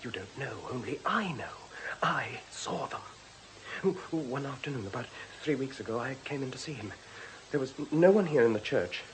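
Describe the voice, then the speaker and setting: An actor playing a highly strung, bitter young drug addict, halting and defensive, in a radio drama. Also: male voice, animated, a little hiss or hum, natural voice, low-quality recording. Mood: anguished.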